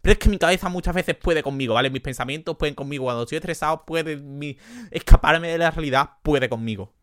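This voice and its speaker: A young man with an Andalusian accent hosting a casual solo podcast from a bedroom, chatty and self-mocking, quick to laugh. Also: fairly high pitch, a bit bright, no room sound, studio quality. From Andalusia, Spain. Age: young adult. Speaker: podcast host. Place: his sister's bedroom.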